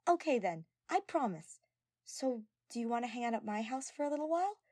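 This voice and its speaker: A woman voicing an energetic, devoted teenage girl in an audio story, earnest and gushing and easily flustered. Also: fairly high pitch, a little fast, dry recording, studio quality, voice pushed up. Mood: eager, caring.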